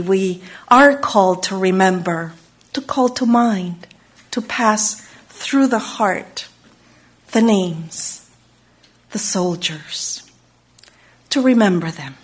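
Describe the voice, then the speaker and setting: A woman from Chile, giving a slow, reflective Unitarian Universalist sermon and lingering on single words. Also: mid-range voice.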